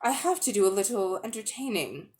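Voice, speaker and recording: A woman's voice: mid-range voice, sing-song, fairly quick, darkish tone, close to an everyday voice. A woman voicing a vain, pompous old uncle in a dramatic storybook reading, wheedling and drawing out his words. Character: vain, pompous old man, a foolish upper-class magician uncle.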